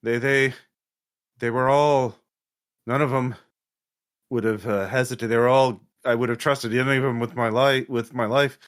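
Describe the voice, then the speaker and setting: A man's voice: mid-range voice, steady tone, natural voice. Man playing a shaken, agitated veteran cop. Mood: shocked, grieving. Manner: agitated, halting, repeats himself. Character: shaken, devastated male Vietnam veteran police officer.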